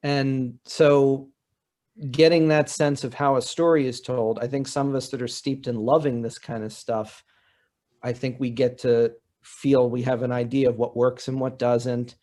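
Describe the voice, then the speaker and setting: A man's voice: mid-range voice, little pitch movement, unhurried, darkish tone. Male panelist, college writing teacher type, mid-range voice, explanatory, conversational, restates key points.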